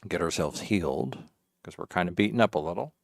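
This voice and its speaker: A man's voice: low voice, expressive, brisk, vocal fry, no echo, clean studio sound. A man hosting a livestream, casually commentating and half talking to himself as he plays a video game. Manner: rambling, talks to himself while playing. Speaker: livestream host. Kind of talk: casual gaming stream commentary. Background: game music.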